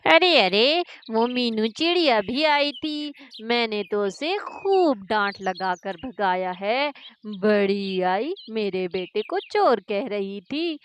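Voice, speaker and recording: Brash, high-voiced mother crow, played by a woman. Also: lively intonation, slow pace, a little hiss or hum. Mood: smug, scornful. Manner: scolding, dismissive. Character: brash defiant mother crow, fiercely defending her son. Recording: music behind the voice.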